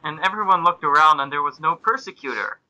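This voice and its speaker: A man's voice: on the high side, fast, bright, forceful tone, rough recording. A man hosting and narrating a comic amateur reading of fan fiction, in an amused, mock-serious way. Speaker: podcast host and narrator.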